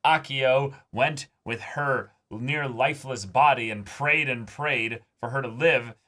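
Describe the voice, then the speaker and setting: A man's voice: medium pitch, takes it slowly, pushed and bright. A man co-hosting a casual reaction show, joking, exclaiming and swearing as he comments. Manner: profane.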